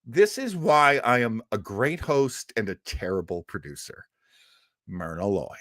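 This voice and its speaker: Male host, casual chatter, animated pitch, dry close mic. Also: a little slow, no echo. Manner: chatty and enthusiastic, with frequent fillers and restarts. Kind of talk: casual livestream chat.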